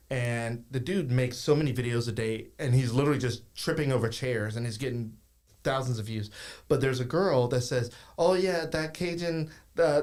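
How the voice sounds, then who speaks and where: A man from South Louisiana hosting a podcast, talking casually in a rambling, halting way. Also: medium pitch, darkish tone. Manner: rambling, halting talk with restarts. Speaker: podcast host.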